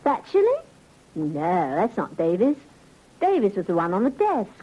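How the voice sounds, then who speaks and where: An actress playing an insistent nightclub singer questioned as a witness in a 1950s radio drama, speaking emphatically. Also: female voice, mid-range voice, wildly swooping pitch, normal speed, husky. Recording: muffled old radio recording.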